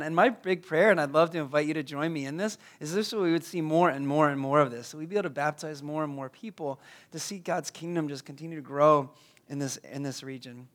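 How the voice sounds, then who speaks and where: A hoarse, raspy-voiced man in his thirties, a pastor praying and then preaching, who often stumbles and repeats words. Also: fairly high pitch, fairly bright tone. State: hoarse from a cold. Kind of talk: prayer and sermon.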